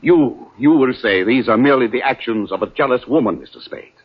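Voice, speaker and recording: An actor playing an agitated, formal doctor, halting and stilted, in a 1940s radio drama. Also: male voice, mid-range voice, animated, husky, soft background music. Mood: distressed. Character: anguished, formal middle-aged European male doctor. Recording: muffled old 1940s radio recording.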